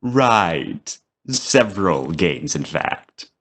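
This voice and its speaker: A man's voice: deep voice, big pitch swings, drawn-out words, a bit bright, full character voice. An actor playing a sinister, playful immortal toymaker in an audio drama, theatrical and taunting in his mock courtesy. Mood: teasing.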